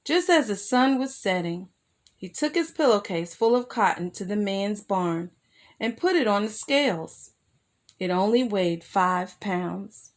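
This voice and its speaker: A woman reading a children's picture book aloud as a storyteller, expressive and careful, with asides to her young listeners. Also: mid-range voice, a little slow. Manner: addresses young listeners with simple questions.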